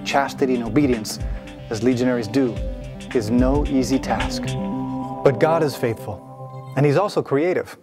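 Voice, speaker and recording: A man giving solemn, measured scripted narration for a promotional video. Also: mid-range voice. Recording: music underneath.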